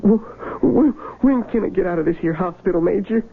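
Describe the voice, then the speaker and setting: Halting, confused young American soldier. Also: male voice, high-pitched, creaky. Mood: confused, distressed. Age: young adult. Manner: halting, stammering. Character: confused wounded young Civil War soldier, earnest, anxious. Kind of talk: 1970s radio drama acting. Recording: noisy, tinny old radio broadcast.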